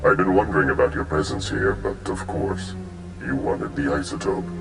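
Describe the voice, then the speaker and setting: An actor playing an imperious alien crime-empire archon in a video game, speaking in a formal, pompous and menacing manner. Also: male voice, very deep voice, lively intonation, creaky, heavily acted. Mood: smug, threatening. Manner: pompous, deliberate. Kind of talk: video game cutscene acting. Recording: echoey, music underneath.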